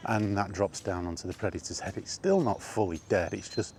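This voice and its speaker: Male vlog host, deep-pitched, conversational and casual, talking straight through with asides, faint ambient noise in the background. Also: brisk, darkish tone. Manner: conversational, rhetorical questions and asides. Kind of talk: casual film chat monologue.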